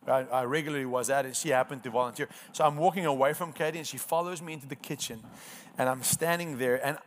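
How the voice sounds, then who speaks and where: An Afrikaans-accented man in his thirties tells his testimony from the pulpit, earnest and emphatic. His voice sits at an ordinary middle pitch. A faint hiss of noise runs underneath. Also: fast. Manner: earnest storytelling with emphatic repetition. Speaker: preacher. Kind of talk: sermon testimony.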